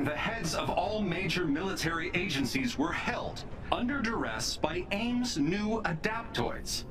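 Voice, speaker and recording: A man playing a grave middle-aged television field reporter in a video game, speaking in urgent, declamatory phrases. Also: on the high side. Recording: music under the voice.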